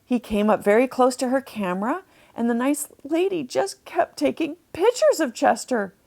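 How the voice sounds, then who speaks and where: A woman narrating a children's storybook, deliberately explaining things to young listeners as she reads. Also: high voice, expressive, gravelly, a little mellow.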